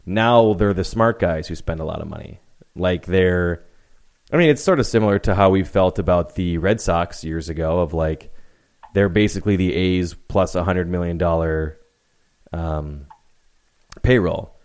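Low-voiced man explaining sports at length. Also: normal speed, a little mellow, clear, resonant tone. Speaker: podcast co-host. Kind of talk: casual sports chat.

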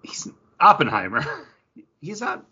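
Lively, bright-voiced joking man. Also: on the high side, breathy edge, lo-fi sound. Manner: jokey, quick interjections. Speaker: podcast co-host. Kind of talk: casual chat.

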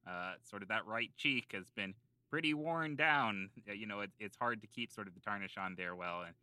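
A man's voice: on the low side, normal speed, pushed and bright, no room sound, poor audio. A man playing a self-serious island local who explains town lore in long, hesitant, mock-scholarly rambles on an improvised comedy podcast. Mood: earnest, rambling.

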